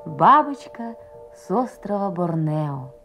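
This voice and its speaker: A woman announcer reading titles in a slow, deliberate, formal way with lively intonation. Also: fairly low pitch. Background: music.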